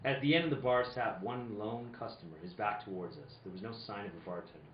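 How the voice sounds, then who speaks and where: Male private eye played by an actor, wry and suspicious, halting delivery, radio detective play, roomy dull recording. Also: on the low side, slight background noise, close to an everyday voice. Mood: wry narrating. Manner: stammering, halting. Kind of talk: live reading of old-time radio detective drama.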